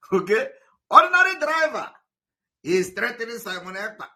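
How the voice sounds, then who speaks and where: Bright, high-pitched man. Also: very animated. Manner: combative, mixing English with Igbo; halting, repeats words and fillers. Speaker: activist addressing followers. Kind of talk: livestream address to followers.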